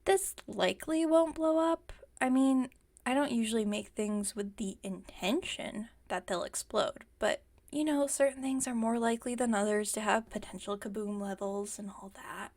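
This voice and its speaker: A stubborn lady villain who invents things next door, acted by a woman for an ASMR roleplay. Her voice sits fairly high with a marked creak of vocal fry. She rambles chattily, teasing and defending herself with mock innocence. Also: normal speed, darkish tone, lightly acted. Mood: chatty, casual. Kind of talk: ASMR roleplay, one-sided conversational acting.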